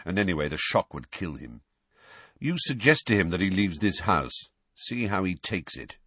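Man reading as impatient master of house, storybook, curt, snapping, cold, husky, dry sound. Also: deep voice, very animated, normal speed, a bit bright, dry recording, exaggerated voice.

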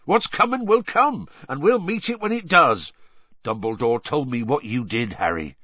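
A man voicing a big, gruff, hearty gamekeeper, fond and loyal, with colloquial clipped phrasing, in an audiobook. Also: mid-range voice, animated, normal speed, no room sound, dull audio, pitched above the performer's own voice. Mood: gruff resolve. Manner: gruff, colloquial, dropped words. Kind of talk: audiobook character voice.

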